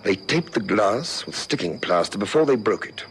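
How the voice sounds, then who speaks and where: A senior detective in an old radio serial. His lowish voice moves with lively intonation, shrewd, measured and in command, over a noisy vintage recording. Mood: explaining, assured. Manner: authoritative, thoughtful, measured. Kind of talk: 1960s-70s radio drama acting.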